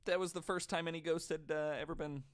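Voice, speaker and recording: A man's voice: fairly high pitch, fairly even intonation, fast. A man hosting a podcast, telling stories to co-hosts in a casual, joking, informal way with some swearing. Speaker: podcast host.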